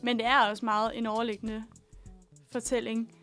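En ung kvinde, der er medvært i et radiostudie og snakker løst og griner meget. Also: female voice, fairly high pitch, level tone, fairly bright tone, soft background music. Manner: quick, laughing banter. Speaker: radio host. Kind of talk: casual studio chat. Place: student radio studio.

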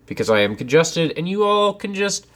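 A young man co-hosting a casual podcast from a home basement, a bit congested, animated and self-deprecating. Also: on the high side, normal speed. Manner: animated, self-deprecating, sips tea while talking. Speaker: podcast co-host. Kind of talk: casual chat.

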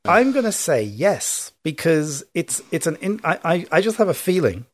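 A man co-hosting a casual chat, playful and animated. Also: fairly high pitch, moderate pace, no room sound. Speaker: co-host.

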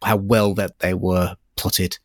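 A man's voice: low-pitched, level tone, takes it slowly, no room sound, clean studio sound. A man co-hosting a review podcast, speaking casually and tentatively as he searches for his words. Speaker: podcast co-host reviewing audiobooks.

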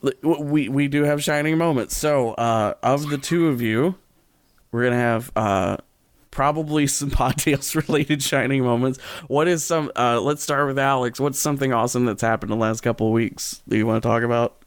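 A man's voice: medium pitch, moderate pace, clear, resonant tone, no room sound. A man hosting a podcast in casual, conversational chat. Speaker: podcast host.

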